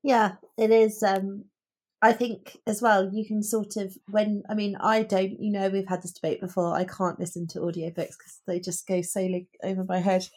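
A woman's voice: medium pitch, level tone, normal speed, a little mellow. A woman talking casually about books as a podcast host, earnest and opinionated. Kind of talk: casual book discussion.

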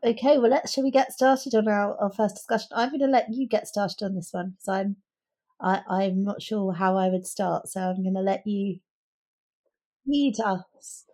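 A woman's voice: medium pitch, steady tone, normal speed, dark tone. A woman with a mid-range voice talks about books as a podcast co-host. Her delivery is chatty and informal, as if thinking aloud in conversation. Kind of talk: casual book chat.

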